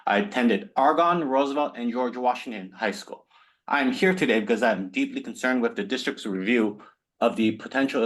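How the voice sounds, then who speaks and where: A man delivers an earnest prepared statement at a middling pitch, speaking as a parent and former student of the district. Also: fairly bright tone. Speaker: parent and alumnus. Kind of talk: prepared public comment.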